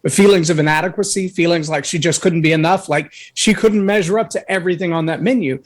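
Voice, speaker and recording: A man guesting on a talk show, telling a personal story in an earnest, anecdotal way, with a voice that can boom when he raises it. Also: quick pace. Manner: earnest, anecdotal, acts out others' words. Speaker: guest speaker.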